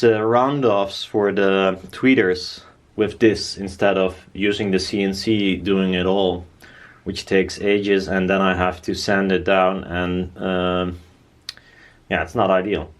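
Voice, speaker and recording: Slow-talking man with a lowish voice. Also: fairly even intonation. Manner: informal, rambling, blunt with swearing. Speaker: DIY maker presenting own build. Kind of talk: casual workshop chat.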